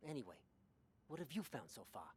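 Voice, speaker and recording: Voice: medium pitch, big pitch swings, fairly quick, darkish tone, slight background noise, close to an everyday voice. An actor playing a wary undercover hospital patient on a hunt, in a radio drama. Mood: wary, serious.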